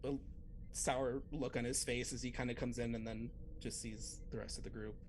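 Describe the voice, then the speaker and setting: A man's voice: medium pitch, steady tone, normal speed, slight background noise, rough recording. A man playing a tabletop role-playing game, improvising casually in hesitant, trailing phrases.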